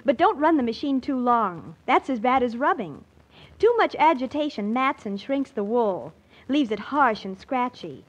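A woman's voice: fairly high pitch, big pitch swings, normal speed. An American woman doing a scripted 1940s radio advertisement in a chirpy, instructive way. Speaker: radio ad spokeswoman. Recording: muffled old radio broadcast.

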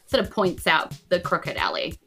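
Bright-voiced New woman narrating a game. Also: fairly low pitch, wildly swooping pitch, normal speed, creaky, soft background music. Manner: informal, descriptive. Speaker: game master. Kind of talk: improvised narration of a tabletop game.

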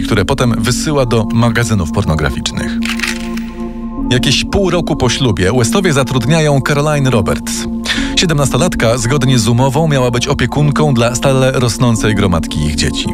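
A man's voice: medium pitch, expressive, normal speed. A man reading a scripted true-crime story as a podcast narrator, recorded as a studio voice-over. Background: music.

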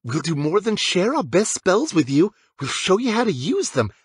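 A fierce schoolgirl team captain, as voiced by a male narrator, pitched far up from a man's usual talk. She is indignant and grimly determined, a storybook heroine out for revenge. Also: husky, no room sound, exaggerated voice. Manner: resolute, indignant.

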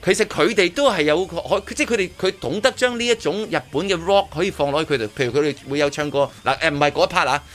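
A man's voice: a little high, very fast, hardly any pauses, fairly bright tone, soft background music. A man with a Hong Kong Cantonese accent hosting casual radio chat, often repeating words and restarting as he reviews a performance. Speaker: radio host. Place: radio studio.

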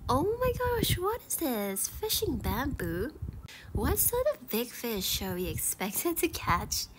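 A woman with a stuffy, blocked nose chatting casually as she previews game outfits, gushing over items and often dropping to a soft whisper. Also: high voice, sing-song, takes it slowly, noisy background. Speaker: gaming YouTuber. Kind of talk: casual gacha preview commentary.

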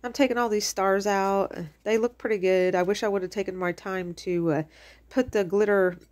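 A woman's voice: medium pitch, fairly even intonation, normal speed, darkish tone. A middle-aged woman with a slightly stuffy, congested voice, chatting casually through a home craft tutorial with lots of fillers. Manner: rambling and chatty, many fillers. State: stuffy from allergies. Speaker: crafting channel host. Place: home kitchen.

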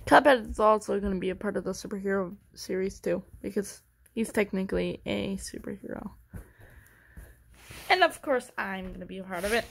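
A woman giving a casual, halting show-and-tell at home into a handheld phone, often restarting her phrases. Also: mid-range voice, creaky. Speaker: amateur video creator and character voicer. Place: room at home.

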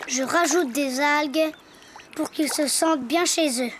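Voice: high-pitched, measured pace, a bit bright, slight background noise. A performer voicing a cheerful, curious little donkey boy in a preschool cartoon, with simple, wondering childlike phrasing. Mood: curious, delighted.

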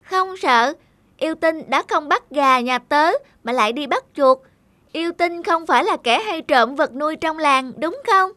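Earnest high-pitched little boy. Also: animated, measured pace, pushed and bright, no room sound, full character voice. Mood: friendly, curious. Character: earnest, kind bespectacled little schoolboy. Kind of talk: Vietnamese cartoon voice acting.

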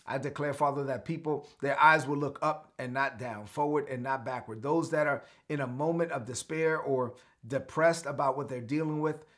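Middle-aged pastor from Brooklyn, daily livestream sermon, earnest and declarative, repeats phrases for viewers, seated home-studio setup. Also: male voice, mid-range voice, steady tone, moderate pace, hardly any pauses, fairly bright tone. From Brooklyn, New York. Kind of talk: live-streamed daily sermon. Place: home studio with lit shelves.

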